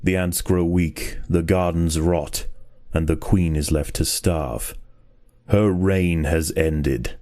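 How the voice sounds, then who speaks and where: A man with a very low, dark and mellow voice narrates in the manner of a nature documentary. His delivery is scripted and measured, with grave, dramatic weight. It suits the story narration of a game. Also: fairly even intonation.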